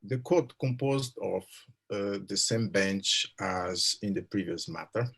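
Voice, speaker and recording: A low, creaky man's voice with a husky rasp. He is a judge reading a judgment aloud, formal in wording and somewhat halting in delivery. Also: steady tone, a bit bright.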